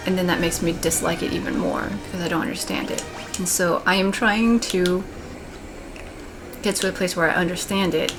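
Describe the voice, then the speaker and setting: A young woman with a monotone voice, talking in a candid, rambling way while playing a video game. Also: on the low side. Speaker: let's play gamer. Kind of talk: casual commentary while gaming. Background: game music.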